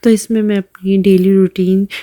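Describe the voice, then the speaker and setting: A woman in her thirties with a Pakistani Urdu accent, narrating her daily routine in a casual voice-over while hoarse from a cold, with hesitations and throat clearing. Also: medium pitch, little pitch movement, moderate pace, dark tone. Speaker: vlogger.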